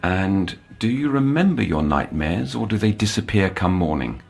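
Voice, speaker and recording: An actor playing a polite, probing counsellor to troubled children in an audio drama, formal and coaxing, choosing words precisely. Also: male voice, low voice, big pitch swings, moderate pace, creaky, darkish tone, slightly rough, soft background music, exaggerated voice. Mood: probing, solicitous. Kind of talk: scripted audio drama acting.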